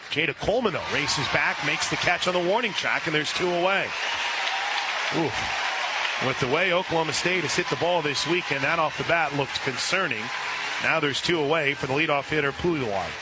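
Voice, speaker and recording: An American man calling live softball play-by-play on the radio from an outdoor ballpark. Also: a little high, noisy background. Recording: loud outdoor ballpark ambience.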